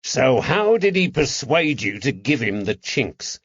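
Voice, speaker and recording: A man voicing a gruff, furious police constable who accuses and interrogates in an audiobook. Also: mid-range voice, sing-song, a little slow, a bit bright, no echo, highs cut off, voice pushed up, full character voice. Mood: stern, probing. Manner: stern, accusing, barking. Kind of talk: audiobook character acting.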